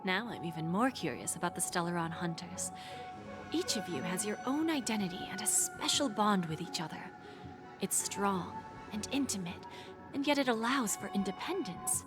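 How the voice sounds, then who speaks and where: An actress voicing a poised, shrewd woman pawnbroker in a video game, speaking in a measured, formal, insinuating way with breathy phrase endings. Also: mid-range voice, big pitch swings, over music, close to an everyday voice. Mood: measured, intrigued. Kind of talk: scripted game dialogue.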